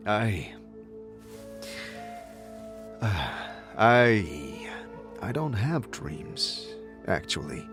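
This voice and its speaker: Guy doing a scarred old-soul wanderer, real low n slow, halting, reserved, audio drama. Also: very animated, over music, exaggerated voice. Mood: halting, matter-of-fact. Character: hesitant, heavily scarred immortal male wanderer. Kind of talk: audio drama character acting.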